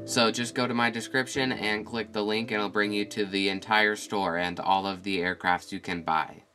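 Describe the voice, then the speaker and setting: Low-voiced guy, kinda sick, hyped narrating his model airport, guitar music playing behind. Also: fairly even intonation, normal speed. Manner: enthusiastic, listing aircraft and routes. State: a bit sick.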